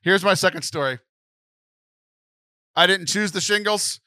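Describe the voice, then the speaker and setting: A man's voice: sits high, a little slow, pushed and bright, no room sound. A man co-hosting a casual podcast, joking and often laughing, on a close mic. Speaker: podcast co-host.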